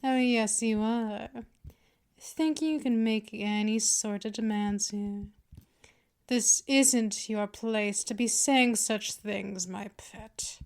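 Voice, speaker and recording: Slow, teasing vampire mistress, acted by a woman. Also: a little high, a little mellow. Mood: condescending. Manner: slow, condescending, teasing purr. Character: patient, teasing, dominant female vampire mistress. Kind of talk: scripted ASMR roleplay, intimate second-person address.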